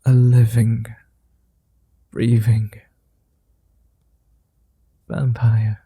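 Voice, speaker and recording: A man playing a suave, flirty vampire in an ASMR roleplay, speaking softly in courtly, formal phrases with a gentle, teasing manner. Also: medium pitch, completely flat delivery, no echo, exaggerated voice. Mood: smooth, seductive. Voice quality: soft, dark, breathy. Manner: courtly formal wording, unhurried pauses, teasing.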